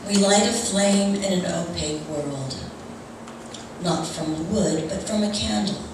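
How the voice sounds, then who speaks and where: A woman's voice: mid-range voice, measured pace. A woman speaking to a congregation at a church service, giving announcements and readings. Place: large reverberant room at a church service. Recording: very echoey, noisy room, distant mic.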